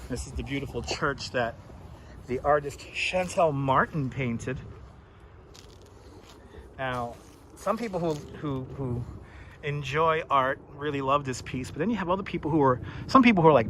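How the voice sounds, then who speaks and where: Man, live-stream host, loud voice, chatty, outdoors, city traffic and ambience clearly behind. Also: medium pitch. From Puerto Rico. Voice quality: naturally loud voice. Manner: chatty, greets viewers by name. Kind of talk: casual walking live stream. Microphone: wireless clip-on mic.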